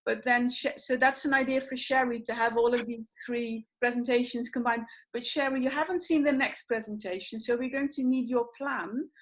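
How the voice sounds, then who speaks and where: A woman's voice: fairly high pitch, fairly even intonation, fairly quick, hardly any pauses, a bit bright, poor audio. Chatty woman hosting online. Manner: chatty, storytelling, many fillers. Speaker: symposium host. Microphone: video call.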